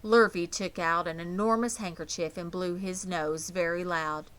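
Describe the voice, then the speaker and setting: A woman's voice: lowish voice, fairly even intonation, normal speed, fairly bright tone. A woman narrating a children's novel aloud as an audiobook reader. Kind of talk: scripted children's story narration.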